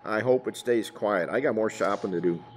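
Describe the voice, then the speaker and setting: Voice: medium pitch, normal speed, slight background noise, lightly acted. An actor playing a folksy, earnest married police detective chatting with a partner in a radio drama. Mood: earnest, advising. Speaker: supporting actor. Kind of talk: old-time radio police drama dialogue.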